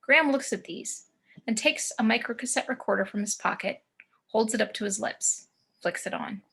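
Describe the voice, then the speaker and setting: A woman's voice: on the high side, moderate pace, vocal fry, a bit bright, slightly rough. A woman reading scene descriptions and action lines as the narrator of a screenplay table read.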